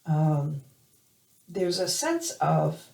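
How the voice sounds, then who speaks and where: A woman hoarse from allergies giving a weekly tarot reading, hesitant with many fillers and restarts. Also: deep voice, very animated, extremely slow, a little mellow, recorded from a distance. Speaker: tarot reader. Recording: roomy, from off the mic.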